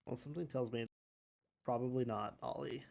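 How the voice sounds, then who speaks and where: A player voicing a sober member of a royal court in an improvised Minecraft roleplay, halting and deliberate. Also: mid-range voice, steady tone, gravelly, a little mellow, natural voice. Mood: tentative.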